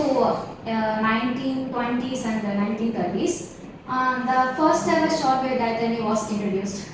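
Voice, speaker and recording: A young woman giving a student presentation in a halting way. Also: high voice. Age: young adult. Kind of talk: group discussion presentation. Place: echoey indoor room. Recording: echoey room, distant mic, noisy background.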